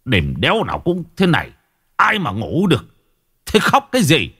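Man acting a snarling, hot-tempered old husband, wildly swooping pitch. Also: wildly swooping pitch, moderate pace, a bit bright, breathy edge, heavily acted. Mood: irritated, angry. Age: older. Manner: snarling, scolding, rhetorical questions. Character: hot-tempered, foul-mouthed old husband, bitter and stubborn.

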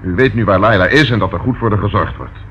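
A protective police inspector played by a man, low and measured, dutiful and reassuring. The radio play acting comes on a dull old recording with faint noise. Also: a bit bright, lightly acted. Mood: firm.

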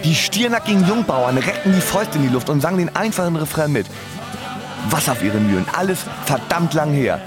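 A man playing a sardonic musician, narrating his own story in a scripted, deadpan radio drama style. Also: a little high, fairly quick, music in the background. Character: sardonic male musician recalling his life. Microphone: close studio microphone.

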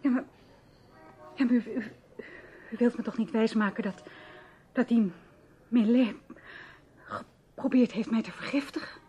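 An actress playing a spirited young woman abroad, expressive and questioning, in a Dutch radio drama. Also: on the high side, slightly rough. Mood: shaken, disbelieving. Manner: expressive, questioning, quick to demand answers.

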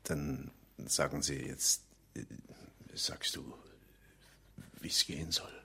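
An actor playing a shy, nervous man in a radio drama, halting and apologetic. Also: a bass voice, little pitch movement, gravelly, pushed and bright, husky, full character voice. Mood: nervous, awkward. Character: shy, nervous man, first time with paid male company. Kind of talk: German radio drama acting.

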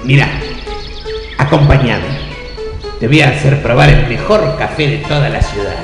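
A man's voice: mid-range voice, moderate pace, close to an everyday voice. A man playing a friendly, boastful local cyclist in an improvised radio play, with an Argentine Rioplatense accent. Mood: laid-back, friendly. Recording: echoey hall with loud music.

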